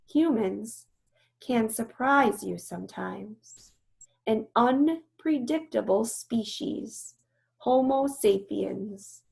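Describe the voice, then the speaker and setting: A woman voicing a weary, wise older female elephant in a read-aloud storybook, speaking in a measured way. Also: fairly high pitch, vocal fry, a little mellow, full character voice, poor audio. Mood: weary, gentle. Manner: slow, measured.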